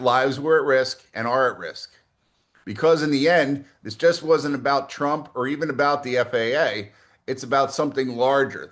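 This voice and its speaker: A man delivering an opinionated political monologue as a commentator, bluntly spoken, mixing scripted lines with offhand asides and rhetorical questions. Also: mid-range voice.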